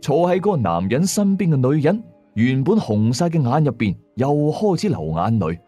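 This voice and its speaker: A male storyteller with a Cantonese accent reads a first-person horror tale. His mid-pitched voice moves expressively up and down over faint background music. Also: sing-song, normal speed, mellow, breathy edge. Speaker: storyteller, narrator.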